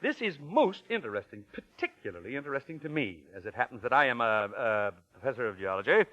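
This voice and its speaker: A man playing a smooth, pompous con man posing as a professor in 1940s radio drama, wordy, grand and given to hesitant stammers. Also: mid-range voice, sing-song, fast, pushed and bright. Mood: grandiose. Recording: muffled vintage 1940s radio recording.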